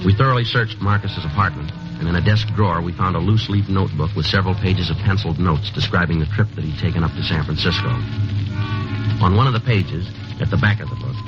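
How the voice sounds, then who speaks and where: A man playing a clipped, deep-voiced police sergeant. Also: fast talker, lightly acted. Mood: narrating. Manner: clipped, matter-of-fact. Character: terse, methodical police sergeant narrating. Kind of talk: 1950s radio drama narration. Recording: dull old radio broadcast with music.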